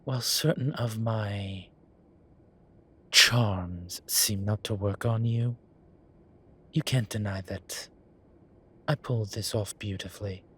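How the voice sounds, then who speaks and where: A man's voice: on the low side, slow, long pauses, pushed and bright, breathy edge, heavily acted. A man playing a vain, suave incubus demon who poses as a posh professor in an audio roleplay, with a wry, self-satisfied drawl. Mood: smug, flirtatious. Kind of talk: scripted audio roleplay acting. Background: ambient sound effects.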